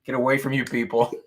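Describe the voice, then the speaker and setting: A man hosting a whiskey-tasting livestream, talking loudly and excitedly, laughing often and reading viewer comments aloud into a boom mic. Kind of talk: casual live-stream chat. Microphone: boom mic moved back from mouth.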